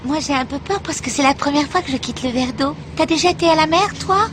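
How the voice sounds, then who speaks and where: A woman voicing a naive, excitable little water drop in a cartoon comedy sketch. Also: high-pitched, fast, slight background noise. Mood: timid, curious. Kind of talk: animated comedy sketch acting.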